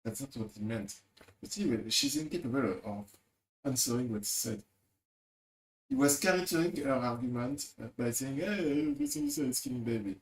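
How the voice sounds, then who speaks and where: A man's voice: medium pitch, expressive, fairly quick. A man streaming and commenting on a debate clip, blunt and exasperated, swearing freely. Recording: roomy echo.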